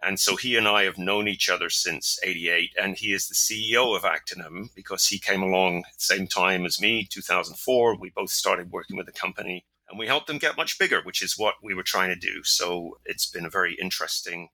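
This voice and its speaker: A man's voice: low-pitched, a little fast, hardly any pauses, pushed and bright, poor audio. A man in his sixties with an English accent, talking enthusiastically as an interview guest. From England. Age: 60s. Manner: chatty and enthusiastic. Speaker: software executive guest. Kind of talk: casual interview talk.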